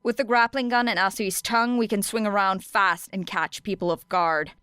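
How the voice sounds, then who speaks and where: Lady reading as a scrappy teen fighter girl, wry and blunt, clear voice, audiobook style. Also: medium pitch, fairly even intonation, normal speed, fairly bright tone, no echo, lightly acted. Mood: decisive. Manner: wry, swearing, matter-of-fact. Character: tough, blunt, capable young girl fighter.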